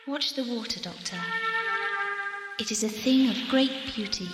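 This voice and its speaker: A woman's voice: on the high side, moderate pace, lightly acted. A woman playing a serene, intense alien in an audio drama, speaking with solemn, poetic phrasing. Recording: echoey long reverb with loud music behind.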